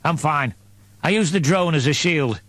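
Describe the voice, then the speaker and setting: A man voicing a brisk, evasive, self-serving priest with a breezy, offhand manner in an audiobook. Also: on the high side, a bit bright, dry recording. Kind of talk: audiobook character voice.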